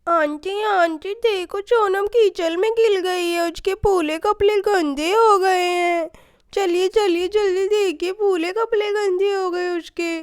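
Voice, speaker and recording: A very high little girl's voice acted by a woman from a children's cartoon story. She is careful and fretful, always warning and telling on others. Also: fairly even intonation, slow pace. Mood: tattling. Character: cautious, tattling little schoolgirl friend.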